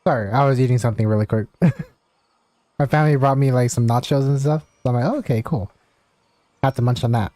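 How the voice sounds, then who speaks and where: Mellow, easygoing male game streamer. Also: mid-range voice, brisk, no room sound. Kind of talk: casual live-stream chat.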